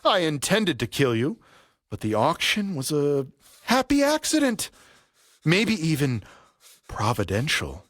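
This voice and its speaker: An actor playing a vengeful, grieving middle-aged father in an audio play, speaking with deliberate, theatrical menace. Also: a little high, wildly swooping pitch, fairly bright tone, no room sound, clean studio sound, heavily acted. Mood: grim satisfaction. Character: vengeful grieving father, a barber settling an old debt.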